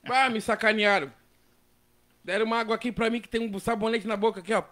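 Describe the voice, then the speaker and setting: High-pitched southern Brazilian man swapping stories. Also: steady tone, fast, fairly bright tone. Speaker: football coach. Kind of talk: casual podcast chat and storytelling. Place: small indoor podcast studio with several people at a table. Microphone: close studio mic on boom arm.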